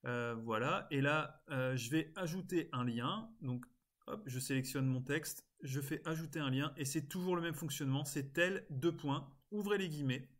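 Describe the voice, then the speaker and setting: A man's voice: fairly high pitch, moderate pace, fairly bright tone. Man giving a casual step-by-step tutorial. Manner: casual, step-by-step explaining with frequent interjections. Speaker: tutorial presenter. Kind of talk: informal screen-recorded software tutorial. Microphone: screen-recording voice-over.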